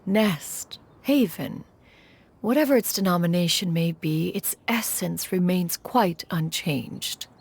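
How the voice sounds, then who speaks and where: A woman voicing an aloof, formal female crane immortal who is master to disciples. She speaks in a measured, archaic way and calls herself "one". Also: lowish voice, vocal fry, a little mellow, husky, exaggerated voice.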